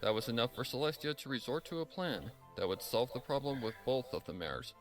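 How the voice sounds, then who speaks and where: A man's voice: medium pitch, sing-song, brisk. A man narrating a scripted story in a dramatic reading style. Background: music.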